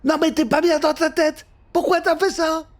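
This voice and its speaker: Young teenage girl, acted by a storyteller, high, fiery and indignant, children's audio fiction. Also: flat delivery, normal speed. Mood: outraged, yelling. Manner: yelling, indignant. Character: angry, outraged thirteen-year-old girl.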